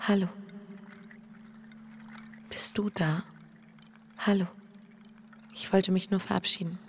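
An actress playing a reflective, troubled married woman in an intimate, hushed radio drama. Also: medium pitch, fairly even intonation, slow, slight background noise. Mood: earnest, resolved. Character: reflective, troubled married woman facing her fear and guilt. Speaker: lead actress and first-person narrator.

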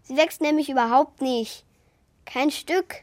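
A little wooden puppet boy in a children's radio play. The voice is high and childlike, cheeky and impulsive, recorded close and dry. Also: a little slow, no room sound. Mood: defiant. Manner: childlike, impulsive, whiny when impatient.

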